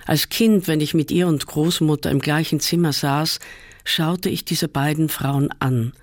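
A man reading a first-person literary essay aloud in a measured, calm way, often slowing down. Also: fairly high pitch, no echo, clean studio sound. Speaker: reader.

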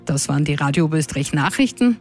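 A woman's voice: sits low, lively intonation, very fast, darkish tone, soft background music. A woman with an Austrian accent reading a scripted regional radio news bulletin. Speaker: radio newsreader. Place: radio studio.

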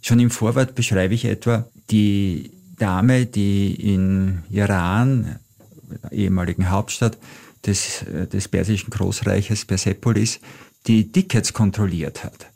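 Gravelly low-voiced Austrian man. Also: fairly even intonation, dark tone. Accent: Austrian German. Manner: reflective, measured, anecdotal. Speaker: travel author, guest. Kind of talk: interview answers, storytelling.

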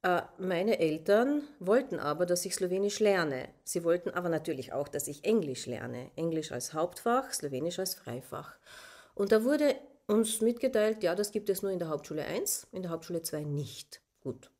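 A middle-aged woman with an Austrian German accent and Slovene as her first language tells a personal story in a reflective, hesitant way, pausing and checking in with questioning asides. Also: on the low side, animated, a little mellow. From southern Austria, Carinthia. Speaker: interviewee.

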